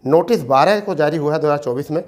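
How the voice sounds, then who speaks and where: A man's voice: fairly high pitch, fast talker. A man teaching exam students in an informal explainer style, repeating points and often checking that listeners follow. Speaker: exam-prep teacher and presenter.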